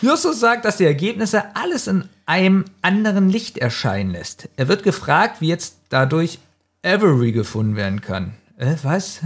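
A man's voice: high voice, big pitch swings, moderate pace, breathy edge. A man hosting a casual podcast, bantering and recapping an audio drama in a giggly way, often interrupting himself. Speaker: podcast host.

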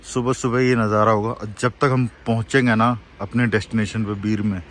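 Thirties male travel vlogger, selfie phone mic, outdoors, slightly low voice, chatty and excitable, light traffic noise behind. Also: brisk. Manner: chatty, exclaiming over the scenery. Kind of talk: casual travel vlog. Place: outdoors on a mountain road. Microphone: phone at arm's length.

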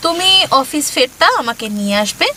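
A woman playing a breezy young wife in a comic audio drama, speaking briskly as if heading out. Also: high voice, expressive, sharp tone, a little hiss or hum.

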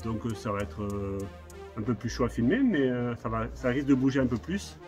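A man's voice: mid-range voice, fairly quick, music in the background. A man, giving informal, repetitive commentary to viewers as he works outdoors with a handheld phone. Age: 30s. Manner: informal, addresses viewers directly, repeats himself. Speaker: hornet nest exterminator and video host. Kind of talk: casual vlog commentary while working. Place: outdoors, on rooftops and narrow village streets. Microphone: handheld phone at arm's length.

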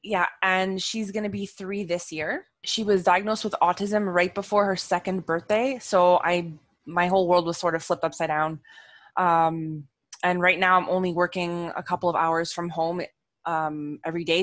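A young woman with a lower-pitched voice and an Italian-tinged Montreal accent talks over a video call through a headset mic. Her words come out quick and earnest, full of "like"s and "um"s. The sound is close and dry, with no room echo. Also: a bit bright. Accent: Italian-tinged Montreal English. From Montreal, Canada. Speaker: guest, parent. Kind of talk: casual video call chat. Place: home room, seated in a gaming chair.

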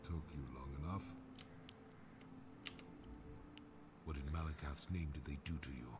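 A man voicing a gruff, blunt, battle-hardened orc warrior in a fantasy video game, with a gravelly voice. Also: extremely low pitch, steady tone, fast talker, background noise. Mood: gruff concern. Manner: blunt, swearing, wry. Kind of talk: fantasy game character acting.

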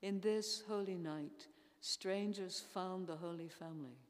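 Slow, solemn older woman praying in an echoing church. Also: on the low side. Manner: solemn, measured phrasing with pauses. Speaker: church intercessor leading prayers. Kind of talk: formal read liturgical prayer. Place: large church interior. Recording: echoey church hall, long reverb.

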